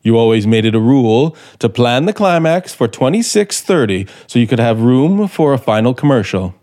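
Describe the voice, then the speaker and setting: A man's voice: medium pitch, sing-song, normal speed, darkish tone, lightly acted. A man acting in a radio drama as a bitter, vengeful scriptwriter with a sardonic, theatrical manner. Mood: coldly mocking. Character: bitter, vengeful male radio scriptwriter turned would-be murderer.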